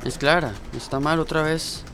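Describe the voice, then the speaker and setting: A man's voice: a little high, steady tone, moderate pace, fairly bright tone, a little hiss or hum. An actor playing a distracted, worried young man in a radio drama, with a Costa Rican accent. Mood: worried, subdued. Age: young adult. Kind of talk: naturalistic radio drama acting.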